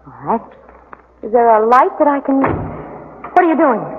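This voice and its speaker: A woman's voice: a little high, lively intonation, measured pace, phone-quality sound. A woman playing an anxious, genteel young companion in peril, politely worried, in 1940s radio mystery style on an old recording. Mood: polite, uneasy, then alarmed. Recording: noisy, reverberant, thin 1940s radio recording.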